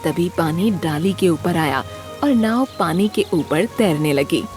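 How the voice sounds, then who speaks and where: A woman narrating a scripted children's story in an expressive storytelling manner. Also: lowish voice, measured pace, faint music behind.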